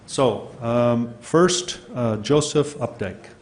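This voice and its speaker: A man chairing a city council meeting, reading procedure and calling speakers in a relaxed way with fillers. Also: medium pitch, slow.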